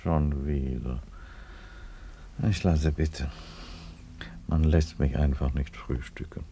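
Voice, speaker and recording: An actor in a German radio play as an unhurried, ironic Roman emperor, speaking in a dry, deadpan way. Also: male voice, very deep voice, vocal fry, very noisy, full character voice. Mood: resigned, dry.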